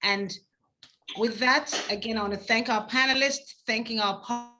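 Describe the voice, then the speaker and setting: Woman, Swahili native speaker, bright, slightly high voice, upbeat webinar host. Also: steady tone, moderate pace, soft background music. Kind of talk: closing remarks on a webinar. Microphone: video call.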